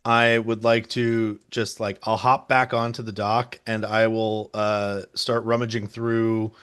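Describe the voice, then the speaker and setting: A man's voice: on the low side, little pitch movement, a little slow, fairly bright tone, smooth. A man playing a tabletop role-playing game, talking casually and thinking aloud about his moves with a joking tone. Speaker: player.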